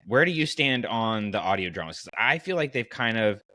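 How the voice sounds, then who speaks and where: A man's voice: fairly low pitch, sing-song, a little fast, bright, forceful tone, dry recording. A man hosting a podcast in casual conversation, thinking aloud and giving his opinions as he goes. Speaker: podcast host. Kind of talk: casual podcast chat about fiction.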